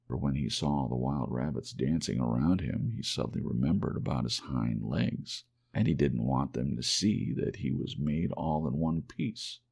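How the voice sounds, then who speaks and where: A man softly and expressively narrating a children's storybook as an ASMR audiobook. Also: very deep voice, animated, normal speed, gravelly, few pauses, breathy edge.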